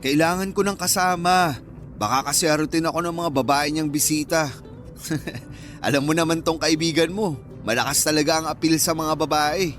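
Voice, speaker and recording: A man's voice: fairly high pitch, fairly even intonation, normal speed, fairly bright tone, soft background music, lightly acted. A man playing a cocky young Filipino in a radio romance drama, playful and boastful as he teases his cousin. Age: young adult.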